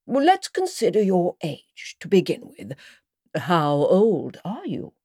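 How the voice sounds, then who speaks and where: A scatterbrained old queen from a storybook, acted by a woman reader, fretful but very sure of herself. The delivery swoops and dawdles, in a mellow, slightly husky tone. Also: lowish voice, wildly swooping pitch, slow pace, no room sound, clean studio sound, pitched above the performer's own voice, full character voice. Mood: decisive. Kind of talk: storybook character voice.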